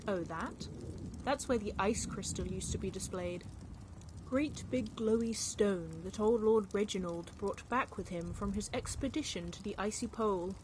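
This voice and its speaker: A woman's voice: mid-range voice, normal speed, noisy background, natural voice. A woman playing a chatty manor informant in a video game, explaining things in a casual, gossipy way. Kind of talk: scripted game character acting.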